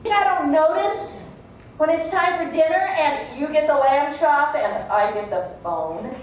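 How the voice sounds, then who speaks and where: Woman voicing an indignant, high-pitched, resentful sheepdog. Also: sing-song, slow pace, dull audio. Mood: indignant, scornful. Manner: sarcastic, indignant. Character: resentful, scornful, put-upon sheepdog. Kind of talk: live folktale storytelling. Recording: echoey room, distant mic, faint noise.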